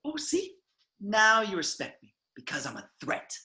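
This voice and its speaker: A man's voice: sits high, huge pitch swings, moderate pace, bright, forceful tone, full character voice. A man playing a gloating young supervillain with a grudge in a script read-through, boastful and theatrical. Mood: resentful, smug. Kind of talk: online table read of a film script.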